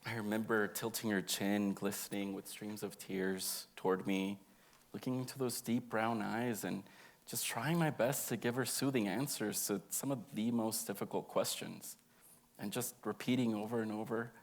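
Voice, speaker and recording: A man's voice: fairly low pitch, steady tone, normal speed. A young man reading an autobiographical monologue aloud in an earnest, emotive storytelling way. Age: young adult.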